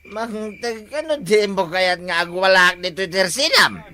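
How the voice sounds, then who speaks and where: A man's voice: sits high, expressive, measured pace, bright, forceful tone, exaggerated voice. A man with an Ilocano Filipino accent playing a combative, blustering village tough in an amateur comedy skit. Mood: irritated, defiant.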